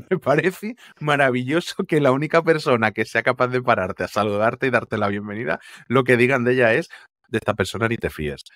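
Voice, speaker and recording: A man's voice: medium pitch, normal speed, a bit bright, no echo, studio quality. A man co-hosting a casual TV series review chat over a video call, talking in an amused, conversational way and often restarting his sentences. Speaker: TV series critic co-host. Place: home room, remote call. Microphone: headset and desk mic over video call.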